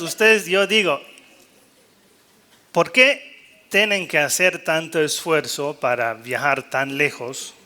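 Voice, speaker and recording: High-pitched, bright-voiced male priest preaching. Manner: jokey and animated, mimicking doubtful priests, rallying listeners. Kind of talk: live sermon-style talk to a gathered congregation.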